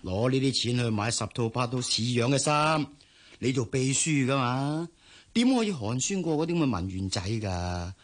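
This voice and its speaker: Actor as a blustering, animated middle-aged father. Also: mid-range voice, natural voice. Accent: Hong Kong. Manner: nagging, blustering, exclamatory. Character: bossy, money-minded middle-aged businessman father. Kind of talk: Cantonese radio drama acting.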